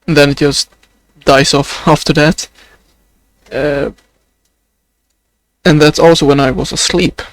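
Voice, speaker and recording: Swedish guy rambling in his video diary, flat halting voice that sounds kinda sad, lots of uhs. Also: a little high, no echo. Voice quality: sounds sad or close to crying. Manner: hesitant, many fillers, slow and halting. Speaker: video journal creator.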